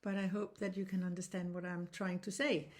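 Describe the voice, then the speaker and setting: A Danish woman in her sixties, a reflexology teacher speaking English as a second language, explaining in an earnest, halting way with frequent restarts. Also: lowish voice, flat delivery, a little mellow. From Denmark. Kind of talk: informal online lesson.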